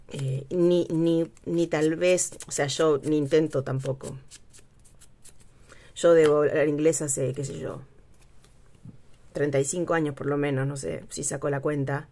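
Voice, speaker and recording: A middle-aged woman talks through a craft project at her table. Her voice sits low, and she speaks in a relaxed, meandering way. She often stops to hunt for the right word. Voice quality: low, unhurried voice with many pauses and fillers. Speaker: craft channel host. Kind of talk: casual craft tutorial, thinking aloud. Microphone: overhead camera, speaker off screen.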